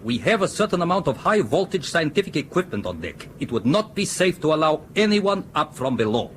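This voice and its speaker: A man's voice: fairly high pitch, very animated, brisk, fairly bright tone, a little hiss or hum. A man acting a formal, stonewalling Soviet ship's captain in a radio drama, with curt, precise speech. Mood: coolly formal.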